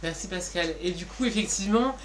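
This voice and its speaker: A woman's voice: lowish voice, a little fast. A woman co-presenting in a semi-formal, improvised way, explaining things directly to the viewers. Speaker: co-presenter explaining an online community. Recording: clear background noise and ambience.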